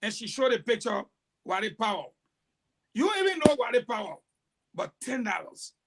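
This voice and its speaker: A man with a high-pitched voice argues on a talk panel. He tells stories in emphatic, broken sentences. His delivery is animated. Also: moderate pace, gravelly, husky. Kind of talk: heated panel discussion.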